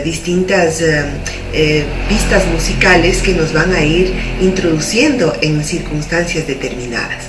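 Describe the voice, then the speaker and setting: A woman explains in a didactic, orderly way, as in a tutorial. She sounds set back from the microphone in a reverberant room, with a faint layer of noise under her voice. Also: on the low side. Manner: methodical, didactic. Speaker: radio drama producer giving a tutorial. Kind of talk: instructional explanation. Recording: roomy, distant mic, faint noise.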